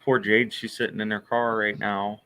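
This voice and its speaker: A man talks casually on a podcast in an easygoing, rambling way and chuckles often. His voice sits low but sounds bright and forceful. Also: little pitch movement, moderate pace, clean tone. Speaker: military veteran. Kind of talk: casual chat.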